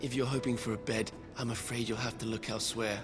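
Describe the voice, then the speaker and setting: A man's voice: mid-range voice, level tone, fast, music in the background, lightly acted. A man playing a polite, faintly dry figure who turns guests away in a video game, formal and courteous. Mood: politely dismissive. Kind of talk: video game cutscene acting.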